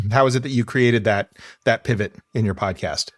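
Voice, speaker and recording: A man co-hosting a podcast, asking questions in a measured, deliberate way. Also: medium pitch, slightly rough, no echo, studio quality. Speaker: dialogue and voiceover editor, co-host. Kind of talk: podcast interview.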